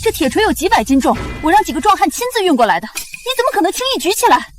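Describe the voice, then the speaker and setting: Haughty scheming noblewoman, costume short drama. High, hugely dynamic, imperious, over music. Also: very animated, very fast. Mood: disbelieving, indignant. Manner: imperious, accusing, high-strung. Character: spiteful scheming young noblewoman, shrill and haughty.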